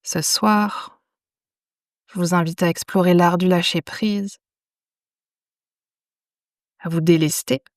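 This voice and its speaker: A woman guiding a yoga nidra meditation in a soothing, unhurried manner, leaving long pauses between phrases. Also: on the low side, dry recording, studio quality. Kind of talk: guided meditation.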